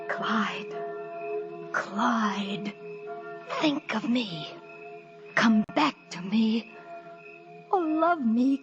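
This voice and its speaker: An actress playing an obsessive, lovesick young woman in a 1940s radio suspense drama, fervent, breathy and pleading. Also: on the high side, lo-fi sound. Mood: desperate pleading. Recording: old radio broadcast with music behind.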